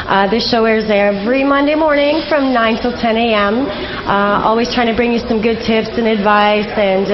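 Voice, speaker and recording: A woman hosting a casual radio interview in a chatty, conversational way. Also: a little high. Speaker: radio show host. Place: live radio lounge with people around. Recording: muffled, noisy live room sound.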